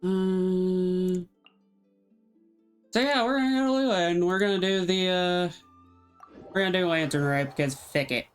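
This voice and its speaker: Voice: medium pitch, measured pace, pauses often, clean tone. A game streamer giving casual, offhand, laid-back commentary while playing live. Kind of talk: casual livestream gameplay commentary. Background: faint game music.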